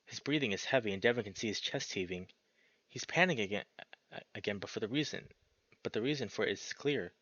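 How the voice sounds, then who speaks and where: A man reading a visual novel aloud as expressive narration, breaking off into casual, sweary, slangy reactions. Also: lowish voice, quick pace, no echo, muffled recording. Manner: animated, sweary, slangy interjections.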